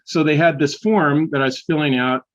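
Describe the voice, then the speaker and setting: An older man, a history professor, telling personal stories in a casual interview, often restarting sentences and filling pauses. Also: on the high side, steady tone, lo-fi sound, a little hiss or hum. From United States. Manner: halting, many restarts and fillers.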